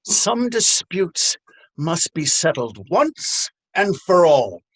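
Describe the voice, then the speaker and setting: An actor playing a cruel, taunting police officer in a stage drama, with a mocking, menacing drawl. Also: male voice, on the high side, sing-song, takes it slowly, slightly rough, full character voice, low-quality recording. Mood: cruel, gloating. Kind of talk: stage readers theater drama.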